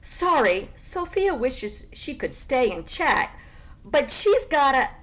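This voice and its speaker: A woman's voice: high-pitched, very animated, slow, a bit bright, voice pushed up, heavily acted, rough recording. A woman voicing a haughty, boastful female cat in a storybook, sassy and sarcastic, with grand self-important phrasing. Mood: dismissive. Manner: sassy, grandly refers to herself in third person.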